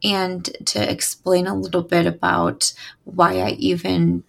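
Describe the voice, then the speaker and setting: Young woman doing her solo podcast, super gravelly low voice, raspy first thing in the morning, chatty. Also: completely flat delivery, measured pace. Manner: chatty and upbeat, with frequent "uh" fillers. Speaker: podcast host. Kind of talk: casual solo podcast talk.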